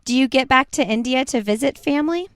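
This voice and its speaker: Smooth-voiced woman podcast host. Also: sits high, brisk, no echo, clean studio sound. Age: 30s. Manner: chatty, musing aloud. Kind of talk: casual interview.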